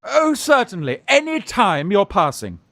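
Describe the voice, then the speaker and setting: A man acts out a flustered young gent masquerading as somebody's aunt in a stage farce. The voice is high and swoops about theatrically, indignant and quick to protest, recorded dry and close. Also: huge pitch swings, creaky, a bit bright, dry recording, exaggerated voice. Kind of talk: Victorian farce radio acting.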